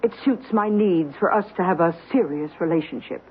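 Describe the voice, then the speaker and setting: An actress playing a bitter, proud, middle-aged wronged wife in an old-time radio drama, speaking in an articulate, cutting, deliberate way. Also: female voice, fairly low pitch, natural voice. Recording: tinny, noisy old radio recording.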